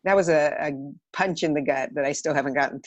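An American woman chatting casually as a podcast guest, halting and pausing between thoughts. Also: deep voice, dry recording. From Los Angeles area. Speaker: podcast guest, theatre director and writer. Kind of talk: casual chat.

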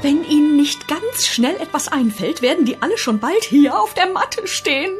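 A woman's voice: high-pitched, lively intonation, normal speed, soft background music. An actress playing a stern, sarcastic female boss in a comedy radio play, scolding and mocking. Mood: stern, accusing.

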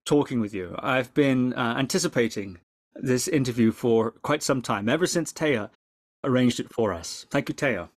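A man hosting an interview podcast, speaking in measured, courteous and formally worded sentences. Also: medium pitch, dry recording, clean studio sound. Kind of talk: scripted introduction, then interview questions.

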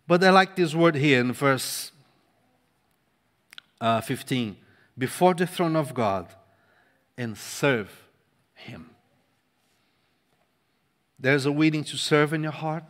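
A man who grew up speaking Portuguese delivers an impassioned sermon in English. His voice sits on the high side for a man, climbing into loud stresses and dropping to near-quiet asides. The sound carries a long reverb from a large church hall. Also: animated, takes it slowly, long pauses. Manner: impassioned, swings from loud to hushed, repeats words for emphasis. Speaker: preacher.

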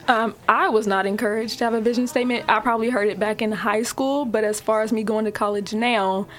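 A woman's voice: on the high side, steady tone, brisk. A woman in her twenties, a college student guest, speaking in a hesitant, reflective way on a talk show. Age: 20s. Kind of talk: casual chat.

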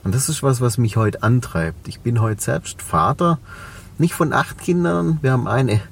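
A man's voice: mid-range voice, lively intonation, normal speed, gravelly, recorded from a distance, slight background noise. A man in his forties with a Swabian German accent, a business owner talking reflectively as an interview guest, with many fillers. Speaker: guest, cleaning company owner. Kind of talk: podcast interview.

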